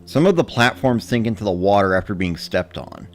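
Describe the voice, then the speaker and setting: A man narrates a scripted first-person story as a dramatic reading. His voice is on the low side and his delivery is measured and matter-of-fact, with faint music underneath. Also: lively intonation.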